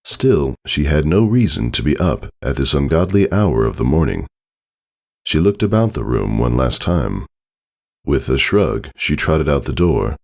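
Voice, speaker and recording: A man reading a scripted story as narrator, with dramatic, measured phrasing and deliberate pauses. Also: very deep voice, sing-song, vocal fry, darkish tone. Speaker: fan fiction narrator. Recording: music under the voice. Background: background music.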